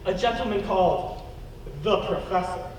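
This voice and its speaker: A man plays a falsely accused gentleman hero in a radio play. He is courteous, earnest and wry, with clipped phrasing. The voice sits far from the mic in a very reverberant hall. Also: high voice, fairly even intonation, moderate pace, natural voice. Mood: exasperated. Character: plucky, polite gentleman fugitive, falsely accused. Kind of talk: stage-like radio play acting. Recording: distant, echoey hall, noisy.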